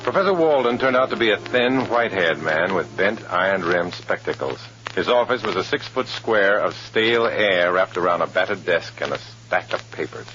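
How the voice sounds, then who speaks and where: Undercover man, very low voice, sober, guarded, old radio thriller, dull recording, music behind. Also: lively intonation, normal speed, bright, forceful tone. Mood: tense, brooding. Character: guarded, tense male undercover agent posing as loyal communist. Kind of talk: 1950s radio drama narration and acting.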